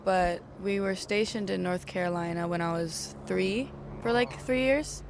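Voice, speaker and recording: Young woman, from Okinawa, gravelly fry, lowish voice, casual interview chat, loud street noise behind. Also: unhurried. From Okinawa, Japan. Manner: relaxed, chatty, laughs often, many "like"s. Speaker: singer-songwriter, interviewee.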